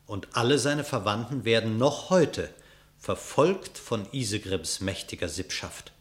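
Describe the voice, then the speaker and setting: Deep-voiced man narrating an epic fable. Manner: measured, epic storyteller tone. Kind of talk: scripted storybook narration in verse.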